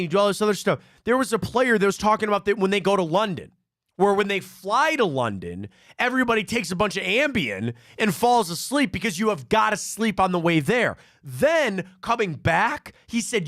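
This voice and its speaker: A man from Cleveland hosting a live sports talk show, telling stories in an animated way and often repeating himself. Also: high voice, no echo, studio quality. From Cleveland, Ohio.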